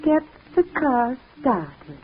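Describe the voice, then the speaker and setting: A tinny, faintly noisy old radio recording features a childlike, devoted sister. Her high voice rises and falls expressively, timid and eager to please in melodramatic 1940s fashion. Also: sing-song, very slow, exaggerated voice. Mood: eager, trusting. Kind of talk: 1940s radio mystery acting.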